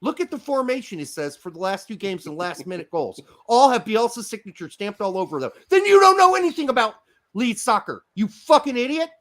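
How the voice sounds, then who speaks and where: A man's voice: extremely high pitch, very animated, fairly quick. Ranting, profane fifty-year-old man. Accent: American. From Germany. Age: 50s. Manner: profane ranting, loud outbursts. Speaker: podcast co-host. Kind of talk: casual chat.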